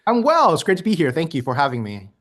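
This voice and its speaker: Man, first language Mandarin, interview guest, reflective, slightly high pitch, light laughs. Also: wildly swooping pitch, fast talker, fairly bright tone. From United States. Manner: earnest, laughs lightly between points, many ums.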